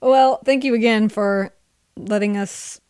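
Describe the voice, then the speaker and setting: A woman's voice: mid-range voice, fairly even intonation, measured pace, a little mellow, clear, resonant tone, no room sound, studio quality. A woman hosting a casual, chatty interview. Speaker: podcast host.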